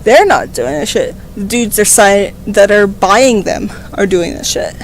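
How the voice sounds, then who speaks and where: A slightly hoarse woman reading a political text aloud on a stream and breaking into rambling, profane, emphatic commentary into a desk microphone. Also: mid-range voice, a little slow, a little hiss or hum, low-quality recording. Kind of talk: casual read-aloud with commentary.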